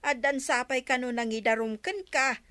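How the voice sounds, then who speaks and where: A woman's voice: high-pitched, normal speed, sharp tone, dry recording. A woman acting an older, teasing village auntie who gossips in a drawling, pointed way in an Ilocano radio comedy. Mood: needling.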